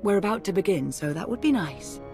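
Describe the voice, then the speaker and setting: An actress playing a cool, brisk female intelligence leader with dry impatience in a video game cutscene. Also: medium pitch, animated, music in the background, natural voice. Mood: brisk, impatient. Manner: dry, businesslike.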